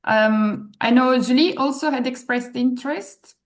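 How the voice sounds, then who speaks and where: Woman moderator, fairly high voice, courteous, on a video call. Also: unhurried. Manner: polite and thankful. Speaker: session moderator. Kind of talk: online conference moderation.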